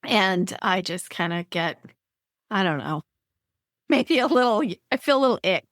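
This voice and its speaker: A woman hosting a writing podcast, asking long, chatty questions full of fillers and playful asides. Her voice has a middle pitch. The sound is dry and close, with no room sound. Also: animated, moderate pace, fairly bright tone, no echo. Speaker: podcast host. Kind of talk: podcast interview conversation.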